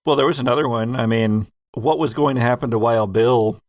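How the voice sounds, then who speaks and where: A man's voice: mid-range voice, brisk, no room sound, studio quality. A man who hosts as game master, talking casually in a podcast retrospective.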